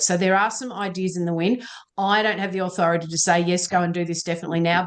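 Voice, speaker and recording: Woman, meeting moderator; relaxed, reassuring, filler-heavy delivery. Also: lowish voice. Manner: chatty, frequent ums, reassuring. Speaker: session moderator. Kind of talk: online meeting Q&A.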